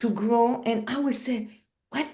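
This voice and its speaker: French-Canadian woman, animated spiritual storyteller. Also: fairly high pitch, moderate pace. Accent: Quebec French. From Quebec. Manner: animated storyteller, acts out scenes with laughs and cries. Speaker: guest. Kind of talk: spiritual interview.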